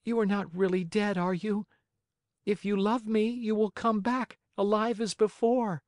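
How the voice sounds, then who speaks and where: A storyteller voicing an emotional young wooden puppet boy in a high, childlike, pleading voice. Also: steady tone, a little slow, a little mellow, lightly acted. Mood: tearful, pleading. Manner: emotional, wailing, eager.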